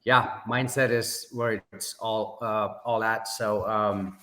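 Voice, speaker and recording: A Sri man in his forties speaks low and bright, with a forceful edge to his voice. He talks as a podcast guest, measured and full of pauses and fillers. His voice rings in an echoey room. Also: steady tone. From Sri Lanka. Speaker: podcast guest, business owner. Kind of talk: casual interview storytelling.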